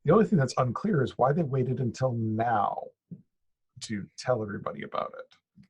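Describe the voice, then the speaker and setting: A middle-aged man co-hosting a podcast, casually presenting news in a halting, wry way. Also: mid-range voice, expressive, husky, highs cut off. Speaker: podcast co-host.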